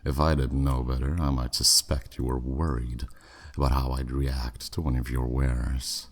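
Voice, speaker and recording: A man's voice: a bass voice, level tone, moderate pace. A man playing a haughty, flirty, skeptical demon buyer in a scripted ASMR audio roleplay, with a sardonic, dismissive drawl. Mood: wry, suspicious.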